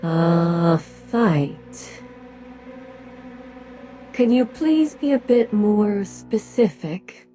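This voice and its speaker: A woman's voice: medium pitch, animated, extremely slow, long pauses, warm tone, soft background music. A woman in an audio drama playing a stern, impatient interrogator with a curt, condescending manner. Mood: dismissive. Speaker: voice actor in fan audio drama.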